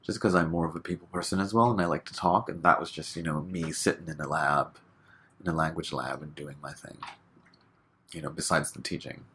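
A man from Hong Kong, a guest chatting casually in an interview, relaxed and full of fillers, laughing often. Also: rumbling low, a little fast, slight background noise.

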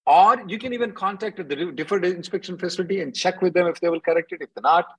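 A man's voice: high-pitched, quick pace, breathy edge, low-quality recording. A man hosting a call-in as an attorney, reading out questions and answering informally in a blunt, emphatic way, often repeating himself.

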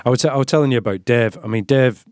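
A man hosting a casual interview, affable and quick with short agreeing words. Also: medium pitch, darkish tone, no room sound, clean studio sound. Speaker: podcast host.

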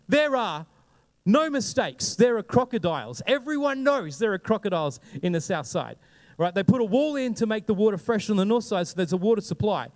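A young man with an Australian accent, preaching a sermon and telling a lively story, often asking the congregation questions. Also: high-pitched, big-room sound. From Queensland, Australia. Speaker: pastor.

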